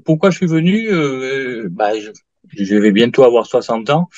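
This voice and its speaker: A man's voice: medium pitch, a little mellow, no room sound. Late-50s guy telling his story, stops and restarts a lot, dull dry lo-fi audio. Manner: halting, many fillers and restarts. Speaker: client giving a testimonial. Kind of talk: hesitant interview answers.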